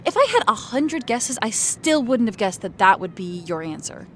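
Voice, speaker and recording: Woman playing a high-voiced sarcastic teenage girl. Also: very animated, normal speed, slight background noise. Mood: incredulous. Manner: sarcastic, incredulous, sharp-tongued. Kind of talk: audio drama dialogue. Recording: faint outdoor street ambience behind.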